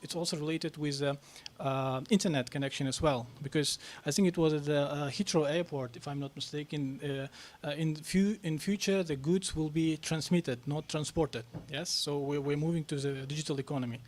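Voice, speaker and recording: Halting man on a panel. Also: on the high side, steady tone. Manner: halting, many fillers and restarts. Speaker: panel speaker on national reforms. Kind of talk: press club panel talk.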